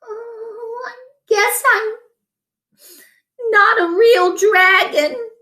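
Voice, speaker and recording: A little boy dragon from a storybook, played by a woman, earnest and unsure of himself. The voice is pitched very high, in a roomy space. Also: fairly even intonation, drawn-out words, sharp tone, exaggerated voice. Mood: dejected. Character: small earnest young boy dragon, eager but unsure. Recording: roomy home echo.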